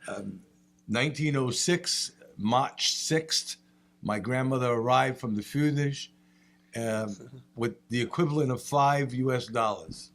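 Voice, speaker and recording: A man, speaking on a panel and telling family stories with animated humor. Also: mid-range voice, unhurried, gravelly. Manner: animated storyteller, laughs at own jokes. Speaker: state representative. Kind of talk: panel remarks with anecdotes.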